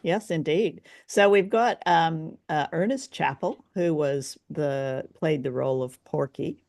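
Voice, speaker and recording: A woman's voice: deep voice, unhurried, a little mellow. A woman hosting a casual review discussion, conversational and inquisitive, often hedging as she puts her thoughts. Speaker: podcast host.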